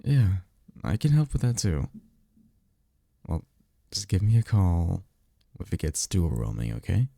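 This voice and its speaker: Casting: guarded male vampire mentor for ASMR audio drama, acted by a man. Deep, mellow delivery, halting yet insistent, with dry sarcasm. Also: wildly swooping pitch, vocal fry, dry recording, full character voice. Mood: reluctantly caring. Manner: halting, insistent, colloquial and sardonic.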